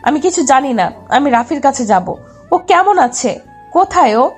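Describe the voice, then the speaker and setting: A woman performs a stubborn, lovesick young woman with a high voice, pleading in a childish, pouty way. It is an audio love drama, with music running behind her. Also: sing-song, a little slow. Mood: anxious, stubborn. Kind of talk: dramatised love story narration.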